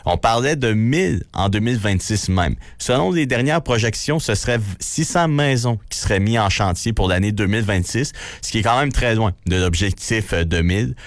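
Quebec French man, radio news and traffic reader, fairly low voice, dry close sound, matter-of-fact with casual slangy asides. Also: lively intonation, moderate pace, few pauses, no room sound.

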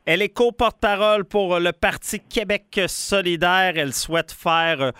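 A man, a radio host wrapping up an interview in a brisk broadcaster style. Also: sits high, clear, resonant tone, dry recording. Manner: brisk, bright broadcaster delivery.

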